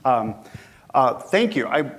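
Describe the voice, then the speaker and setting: A man's voice: mid-range voice, big pitch swings, takes it slowly, a bit bright. A man with a head cold, sounding a little sniffly, giving a conversational conference lecture and putting questions to the audience. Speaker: guest lecturer.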